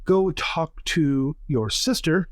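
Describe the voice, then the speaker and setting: A man acts out a prim and orderly companion in a tabletop game. He speaks politely and precisely in a slightly high register, with a close, dry sound. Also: lively intonation, slow, no echo. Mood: methodical. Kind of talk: improvised in-character tabletop roleplay.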